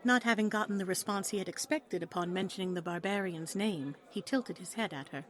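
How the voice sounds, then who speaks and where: A woman's voice: medium pitch, a little fast, a bit bright. A woman reading a story aloud as narrator, in a dramatic, scripted storytelling style.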